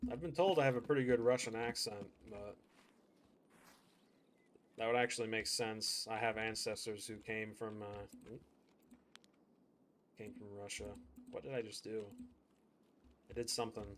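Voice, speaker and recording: A man's voice: lowish voice, steady tone, normal speed, pauses often, fairly bright tone, slight background noise. A man streaming a game, chatting casually and thinking aloud as he plays. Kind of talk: live gameplay commentary.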